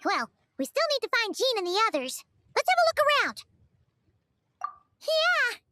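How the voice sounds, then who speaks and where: A woman voicing a small, cheerful, childlike fairy companion in a video game, with a squeaky voice. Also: wildly swooping pitch, normal speed, sharp tone, a little hiss or hum, heavily acted. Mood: upbeat. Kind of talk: video game character voice acting.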